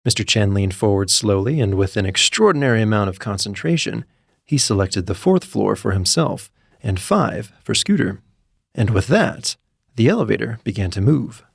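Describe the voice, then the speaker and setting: A man narrating a scripted comic story in an animated, wry way. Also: lowish voice, moderate pace, creaky, breathy edge, no echo, studio quality. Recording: music behind the voice. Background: background music.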